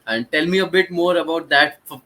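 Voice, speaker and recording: Bright, forceful Indian man interviewing. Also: fairly high pitch, fairly quick. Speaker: podcast host. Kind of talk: casual interview. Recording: faint background noise.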